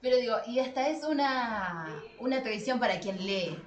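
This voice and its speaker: A woman's voice: fairly high pitch, animated, moderate pace, highs cut off. A woman with an Argentine accent co-hosting a podcast, discussing literature casually in a colloquial, irreverent way. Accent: Argentine Spanish. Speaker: podcast co-host. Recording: roomy, echoing sound.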